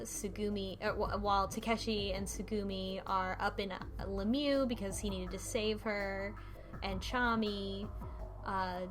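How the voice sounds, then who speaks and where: Woman casually recapping a story over music. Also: mid-range voice. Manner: rambling, conversational, quotes characters informally. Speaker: podcast host recapping a story. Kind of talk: casual book club discussion, retelling a visual novel.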